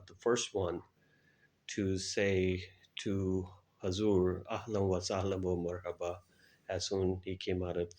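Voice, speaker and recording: A middle-aged man answering interview questions and recounting his experiences in a halting way with frequent pauses. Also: sits low, a little mellow. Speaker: guest, air traffic controller.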